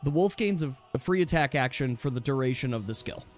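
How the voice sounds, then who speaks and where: A man's voice: mid-range voice, lively intonation, fast. A man acting as game master in a casual tabletop game, explaining rules step by step. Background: background music.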